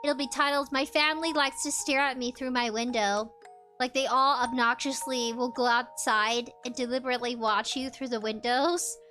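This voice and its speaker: A woman's voice: high-pitched, fairly even intonation, normal speed, sharp tone, faint music behind. A woman streaming a game, chatting casually with viewers in a bubbly, giggly way. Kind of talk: casual live-stream chat while gaming.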